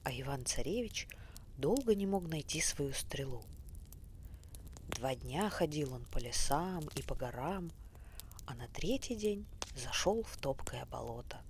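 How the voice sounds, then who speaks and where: A woman narrating a bedtime fairy tale in a soft, often whispery, unhurried voice. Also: extremely low pitch, expressive. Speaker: storyteller. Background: faint fireplace crackle.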